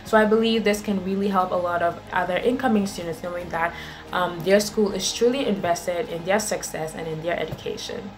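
A woman's voice: fairly low pitch, fairly even intonation, brisk. A young woman from Ghana, a college student, giving an upbeat, earnest testimonial into a webcam. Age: young adult. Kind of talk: scripted testimonial. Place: indoor room.

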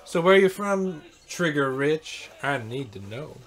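A man's voice: a little high, expressive, unhurried. An American man co-hosting a game livestream with casual, crude, joking banter. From United States. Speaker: game streamer co-host.

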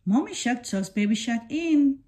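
A woman reading a children's picture book aloud in a deliberate, simple storytelling way for young children. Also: on the high side, lively intonation, mellow. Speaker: storybook reader.

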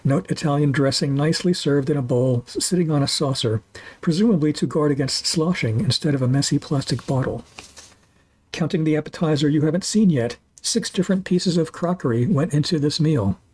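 Husky-voiced man in his sixties narrating. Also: fairly high pitch, darkish tone, rough recording. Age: 60s. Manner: dry, wry, deliberate reading. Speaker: food and walking blogger. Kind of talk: scripted voice-over narration. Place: voice-over, not recorded on location.

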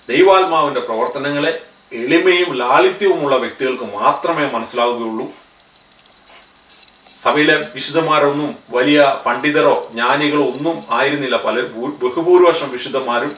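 A man's voice: mid-range voice, a bit bright, echoey room, distant mic, poor audio. A man in his thirties preaching a sermon to camera in earnest, his voice rising on key phrases. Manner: earnest, gestures with both hands, voice rises on key phrases. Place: studio in front of a backdrop.

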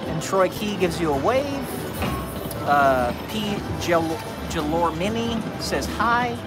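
A man's voice: sits high, lively intonation, takes it slowly. A man from California hosting a live slot stream, friendly, reading out and greeting viewer messages. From California, USA. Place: casino floor. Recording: loud casino machine music behind. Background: loud slot machine music.

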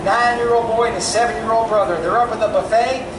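A middle-aged man with a Kentucky accent working a crowd on a big theater stage, his deadpan lines landing after long pauses. He speaks into a handheld mic, picked up from far back in the house. The hall rings around him, and loud crowd noise sits under his voice. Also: extremely high pitch. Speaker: stand-up comedian. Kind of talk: stand-up comedy routine. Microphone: handheld mic, filmed from far off.

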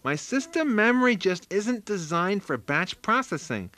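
A man acts a nerdy, oblivious computer-geek husband for radio comedy. The voice is high and sharp, with halting, awkward delivery. It swings animatedly. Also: sing-song, exaggerated voice. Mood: awkward complaining. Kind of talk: radio sketch comedy acting.

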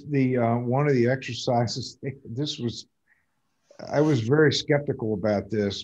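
An older man with a husky, mid-pitched voice talks casually and plainly, in halting phrases. The sound is a little dull, as from a video call. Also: mellow. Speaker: actor in a community theatre production. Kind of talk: casual reflective chat. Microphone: video call computer mic. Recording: muffled video call audio.

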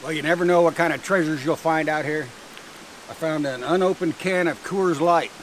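A relaxed American man rambling through his camping day with dry humor. He sits back from the camera mic, so he sounds a little distant. Steady rain hisses clearly behind him. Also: on the high side, normal speed. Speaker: moto camping vlogger. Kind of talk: casual vlog narration to camera. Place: tent and campsite outdoors by a creek in the rain.

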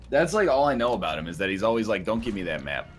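A man's voice: on the low side, sing-song, fairly quick. A man chatting casually and jokingly with friends while playing a video game, tossing in dry riffs and mock-formal asides.

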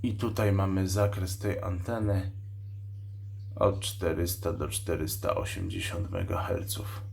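A man hosting an unscripted product demonstration, explaining a device's specs in a matter-of-fact, technical way and pausing mid-sentence while he handles it. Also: deep voice, sing-song, vocal fry, a little mellow. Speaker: radio shop host reviewing a walkie-talkie.

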